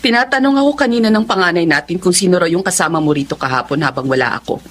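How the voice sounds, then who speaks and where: A woman's voice: fairly low pitch, racing, a bit bright. An actress playing a timid, belittled wife and mother who is near breaking, in an emotional Tagalog drama. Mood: hurt, restrained.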